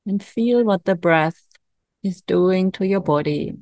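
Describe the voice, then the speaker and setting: Female meditation guide, lowish and very unhurried, calm and soothing, leaving long pauses, close dry sound. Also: no echo. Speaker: meditation coach.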